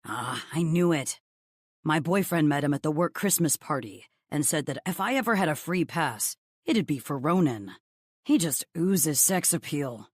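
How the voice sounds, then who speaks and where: A woman's voice: deep voice, no echo, studio quality. Woman voicing a cheeky, gossipy young man. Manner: cheeky, effusive, blunt. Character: gossipy, teasing young male best friend and coworker.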